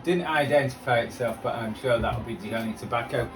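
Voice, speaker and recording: A man presents a casual hobby vlog in a mid-pitched voice. He rambles and mutters asides in a self-deprecating way. He sounds some distance from the mic, with music from a radio clearly playing behind him. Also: brisk.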